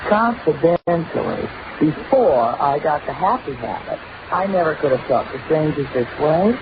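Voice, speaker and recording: A woman's voice: low-pitched, animated, moderate pace. A woman delivering a playful, confiding scripted promo in 1950s radio style. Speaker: radio network promo announcer. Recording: tinny, noisy old radio broadcast.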